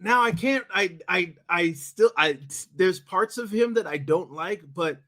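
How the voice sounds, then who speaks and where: A man from the United States hosting a casual chat, haltingly repeating his words as he goes. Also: high-pitched, animated, pushed and bright. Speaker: podcast host, drama director.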